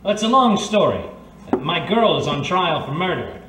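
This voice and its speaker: A man's voice: fairly high pitch, very animated, normal speed. A man playing an earnest, polite and slightly awkward private eye in an old-time radio detective drama. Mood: probing, earnest. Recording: roomy, distant recording with faint noise.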